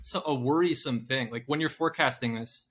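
A man with a bright, forceful voice co-hosting a casual investing chat. He rambles through his points, restarts often, and laughs a lot. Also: mid-range voice, a little fast. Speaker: podcast co-host.

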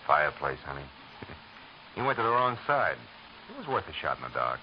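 A man's voice: rumbling low, sing-song, moderate pace, lo-fi sound, slight background noise. An actor playing a hard-boiled male private eye who talks in a dry, clipped, sardonic way, in 1940s radio acting from an old broadcast with music. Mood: wry.

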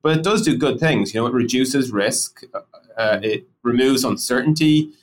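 A man's voice: mid-range voice, normal speed. A man co-hosting a casual podcast, talking animatedly and firing off rhetorical questions as he acts out colleagues. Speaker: podcast co-host discussing product.

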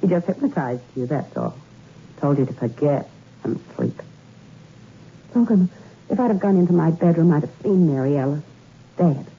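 An actress playing a cool, scheming femme fatale in a 1960s radio suspense drama, languid and matter-of-fact. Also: female voice, sits low, big pitch swings, normal speed, pauses often, husky, heavily acted. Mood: cold, confessional. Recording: thin phone-like old radio recording.

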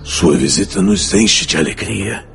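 A man playing a mature, reverent villager of ancient times in a solemn, slow audiobook drama. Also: low voice, lively intonation, vocal fry, a bit bright, faint music behind, heavily acted. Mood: solemn, welcoming. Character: mature bearded male ancient villager, reverent watcher angel in human form.

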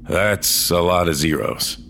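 A world-weary old cyborg man in a science-fiction audio drama. His voice sits very low, and he talks bluntly with gruff, dry wit. A faint bed of noise lies behind him. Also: lively intonation, slow pace, fairly bright tone, heavily acted. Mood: resigned, wry. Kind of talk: audio drama dialogue and first-person narration.

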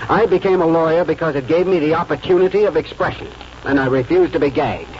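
An actor playing an idealistic small-town American lawyer, earnest and oratorical, in 1940s radio drama style. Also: male voice, fairly high pitch, quick pace, darkish tone, a little hiss or hum, natural voice. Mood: quietly firm. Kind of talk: 1940s radio acting and first-person narration. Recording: muffled old radio broadcast, music behind.

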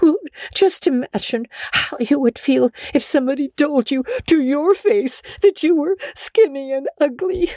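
A woman's voice: high voice, a little slow, darkish tone, no room sound, clean studio sound, pitched above the performer's own voice. A woman voicing a tearful, defiant young girl in a dramatic storybook reading, pleading and wailing with wounded pride.

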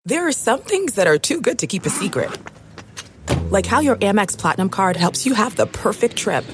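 A woman's voice: medium pitch, sing-song, normal speed, a little hiss or hum. A woman reading polished, scripted commercial narration as a voice-over announcer. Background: music.

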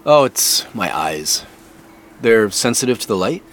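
A man's voice: fairly low pitch, sing-song, unhurried, fairly bright tone, slight background noise. An actor playing a wry, evasive, preoccupied businessman in a naturalistic audio drama. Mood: casual, evasive.

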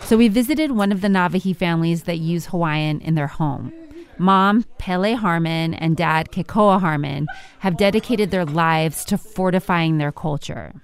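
A woman with a slightly low voice narrates a radio news feature. Her delivery is measured, clear and scripted, the steady pacing of a reporter telling a story.

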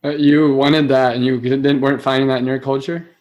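A man's voice: medium pitch, flat delivery, fairly quick, a little mellow, dull audio. A young man hosting a podcast, asking casual questions in a searching way, full of "like". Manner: searching, many "like" fillers. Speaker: podcast host.

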